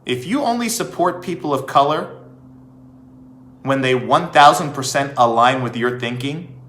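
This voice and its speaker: Man, animated swooping pitch, bright forceful tone, emphatic vlog monologue, rhetorical questions. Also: a little slow. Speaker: vlog host. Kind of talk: opinion monologue to viewers. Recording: echoey room.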